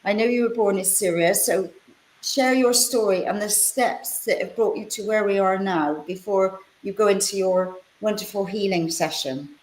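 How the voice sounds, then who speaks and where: A woman's voice: mid-range voice, normal speed, darkish tone. A woman, probably middle-aged, hosting a radio show with a chatty, rambling and complimentary introduction of a guest. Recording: roomy, echoing voice pickup.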